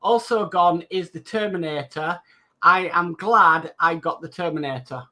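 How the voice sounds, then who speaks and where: A man's voice: fairly high pitch, unhurried, bright, forceful tone. A man co-hosting a live stream, reading out viewer comments and chatting about them casually. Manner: reads chat comments aloud, then chats loosely. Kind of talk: casual chat.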